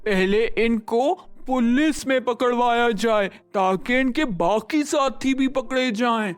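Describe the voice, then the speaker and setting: A woman voicing a deep stern male jinn. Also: takes it slowly, faint music behind. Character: deep-voiced male jinn, stern but kindly guardian. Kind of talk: storybook narration.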